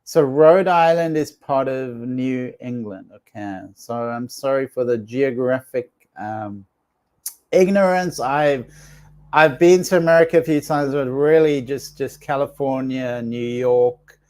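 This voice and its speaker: A man's voice: medium pitch, fairly even intonation, unhurried, a little mellow. A middle-aged man from South Africa, congested with allergies, hosting a casual livestream, chatting and reading viewer comments with many fillers and restarts. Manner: rambling, with frequent restarts and fillers. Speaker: livestream host and healer.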